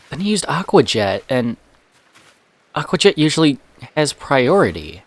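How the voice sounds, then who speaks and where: Chatty man narrating his let's play. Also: mid-range voice. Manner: chatty, reacting aloud to the game. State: slightly sick, voice a bit off. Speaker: gaming channel host.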